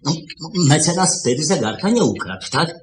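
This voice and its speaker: An actor playing a suspicious, irritable shopkeeper in a radio play, stammering and repeating words insistently. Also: male voice, fairly high pitch, big pitch swings, normal speed, roomy sound. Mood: probing, suspicious. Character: suspicious, irritable male shopkeeper interrogating his assistant. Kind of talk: 1970s Polish radio play acting. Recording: noisy old 1970s radio recording.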